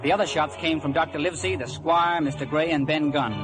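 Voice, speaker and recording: A man's voice: fairly high pitch, fairly even intonation, brisk. Dramatic male narrator over music. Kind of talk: dramatic scripted story narration.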